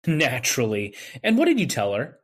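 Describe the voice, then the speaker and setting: A man playing a bewildered, earnest man insisting on his innocence in an old-time radio drama, with a husky voice. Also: medium pitch, wildly swooping pitch, normal speed, a bit bright. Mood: accusing.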